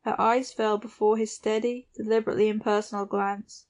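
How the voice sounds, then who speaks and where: A female audiobook narrator reads literary fiction at a middle pitch. Her intonation stays even and restrained from phrase to phrase. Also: fairly quick.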